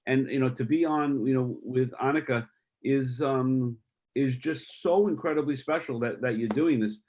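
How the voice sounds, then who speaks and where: A middle-aged man speaking as a guest on a video call, halting and heartfelt with many restarts. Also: medium pitch, a little mellow.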